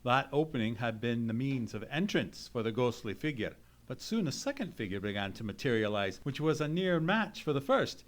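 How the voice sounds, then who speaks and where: An actor playing an earnest gentleman sidekick who tells a mystery in a formal, dramatic radio-play style. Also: medium pitch, sing-song, moderate pace, lightly acted. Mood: dramatic, suspenseful. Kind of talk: dramatic narration in old-time radio play.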